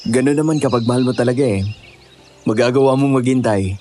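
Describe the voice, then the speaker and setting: A man's voice: mid-range voice, brisk, warm tone, slight background noise, natural voice. A man with a Filipino accent acting a laid-back, skeptical young hiker in a conversational audio drama. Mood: casual, skeptical.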